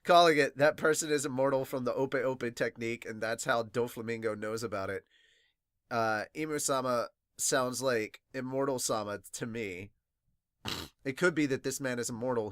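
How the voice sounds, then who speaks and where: Excitable sniffly man, close dry recording. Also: mid-range voice, moderate pace, dry recording. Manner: excitable, casual swearing. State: congested, sniffly from crying. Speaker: podcast host reviewing manga. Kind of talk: casual fan reaction, reading viewer comments aloud.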